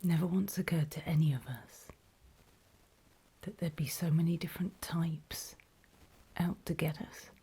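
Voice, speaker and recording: A woman playing a nervous, apologetic apocalypse survivor in a horror ASMR roleplay, in a soft, breathy whisper with a halting, self-conscious delivery. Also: low-pitched, exaggerated voice. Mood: uneasy, earnest. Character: nervous, apologetic female zombie-apocalypse survivor recording a warning.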